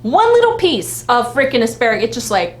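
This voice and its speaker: A woman's voice: on the high side, very animated, a little fast. A woman co-hosting a casual live fan vlog, talking in a rambling, repetitive, slangy way. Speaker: vlog co-host. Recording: noisy live-stream audio with hum.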